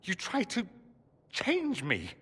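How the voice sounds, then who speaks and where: A proud young nobleman mage from a video game, theatrical and sarcastic. His bright, forceful voice swings widely in pitch and rings in a long hall reverb, articulate and defiant. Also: wildly swooping pitch, slow, husky, heavily acted. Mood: hurt, defiant. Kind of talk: dramatic video game voice acting.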